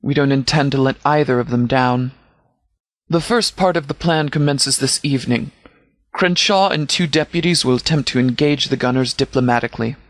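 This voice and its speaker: Man acting as post-apocalyptic game sheriff, stern, composed, formal, authoritative, medium pitch, very dry sound. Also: normal speed, no room sound, natural voice. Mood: businesslike briefing. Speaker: sheriff and commander in a video game. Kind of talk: scripted video game dialogue.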